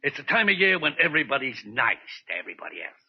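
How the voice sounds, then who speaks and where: A blustery, wheedling American agency boss, greedy for cash, with a high, swooping voice full of gravel. He is a character in a 1940s radio detective play, on a dull old recording. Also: big pitch swings, very fast, full character voice. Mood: wheedling, insistent.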